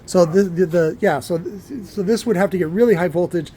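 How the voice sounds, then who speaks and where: A man giving an informal technical explanation as an electronics builder, halting and often repeating words as he works through his point. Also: sits high, mellow, slight background noise.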